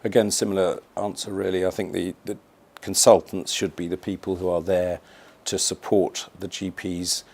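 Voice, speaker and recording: A man speaking as a specialist doctor, giving measured explanatory interview answers for an educational film. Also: low voice, flat delivery, gravelly, a little mellow.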